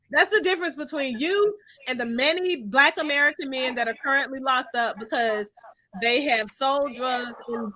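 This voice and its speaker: A woman's voice: sits high, bright, forceful tone, no room sound. A woman from Finland speaking in an opinionated discussion, making her points in an emphatic, insistent and argumentative way.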